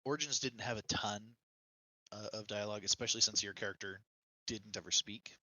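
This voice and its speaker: A man's voice: lowish voice, a little fast, sharp tone, no room sound, low-quality recording. A man speaking as a podcast guest, casually and reflectively explaining his own preferences in video games.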